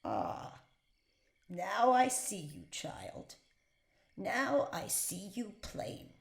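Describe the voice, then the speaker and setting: A woman playing a proud, devout older Puritan prisoner in a period audio drama, speaking solemnly and deliberately in archaic phrasing. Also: medium pitch, very animated, vocal fry, heavily acted. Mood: cold resolve. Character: defiant, devout older imprisoned Puritan wife, proud and unbending. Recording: roomy echo.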